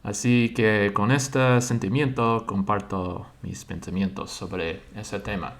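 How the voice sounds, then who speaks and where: A man, a church member slowly sharing his religious thoughts and pausing to search for words in a language he learned later. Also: on the low side, fairly even intonation. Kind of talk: slow personal religious monologue.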